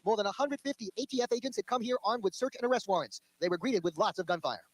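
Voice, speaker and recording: A male TV news reporter delivering a brisk, formal field report. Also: high voice, expressive, no room sound, rough recording. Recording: archival TV news broadcast.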